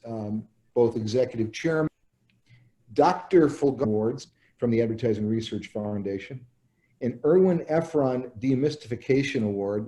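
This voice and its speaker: A man's voice: lowish voice, mellow, low-quality recording. A man hosting an interview program, introducing his guest and asking long, lightly joking questions. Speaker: interview host. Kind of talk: hosted interview.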